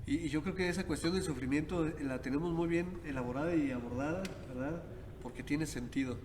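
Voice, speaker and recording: A man's voice: on the high side, brisk, darkish tone. A man, a priest hosting a reflective podcast conversation, who often ends his points with tag questions. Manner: thoughtful, many tag questions. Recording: echoey hall with background noise.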